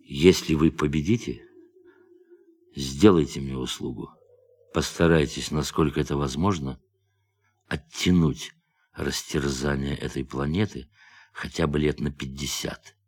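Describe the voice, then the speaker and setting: An actor playing a calm, defiant astronaut in a radio play, speaking in a measured, quietly intense way. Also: male voice, very deep voice, slightly rough, exaggerated voice. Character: calm defiant astronaut who turned on his crew.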